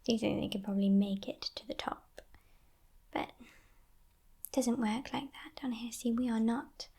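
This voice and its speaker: A woman voicing a teasing, possessive female demon captor in a breathy, mock-polite whisper, as ASMR roleplay. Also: on the high side, full character voice. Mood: smug, amused. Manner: slow, hushed, mock-polite, condescending.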